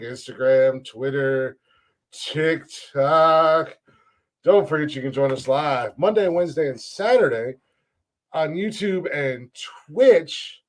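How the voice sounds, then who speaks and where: A young man hosting a casual comedy podcast, loud and joking, swearing often and laughing a lot. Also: fairly high pitch, a little slow. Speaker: podcast host.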